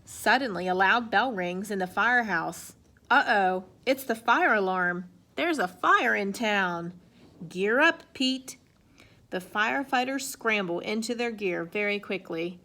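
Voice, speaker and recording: A woman reading a children's picture book aloud in an expressive way. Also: mid-range voice, a little slow. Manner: expressive, acts out sound effects and exclamations. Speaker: storybook reader.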